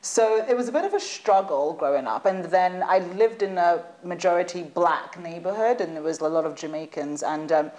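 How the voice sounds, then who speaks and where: A woman, a guest in a studio interview, telling stories in an animated, chatty way and laughing at times. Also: fairly low pitch, normal speed, hardly any pauses. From United Kingdom. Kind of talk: casual interview chat.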